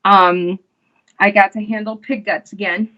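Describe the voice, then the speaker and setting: A woman, an actress, chatting casually as an interview guest, unhurried and full of fillers and restarts. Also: mid-range voice, steady tone, sharp tone, dull audio.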